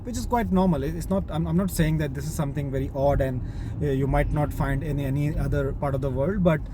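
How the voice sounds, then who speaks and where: Pakistani-accented man in his 30s, fairly high voice, halting casual talk, car noise clearly heard behind. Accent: Pakistani English. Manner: halting, with many restarts and pauses. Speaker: IT project manager vlogger. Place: inside his car while driving.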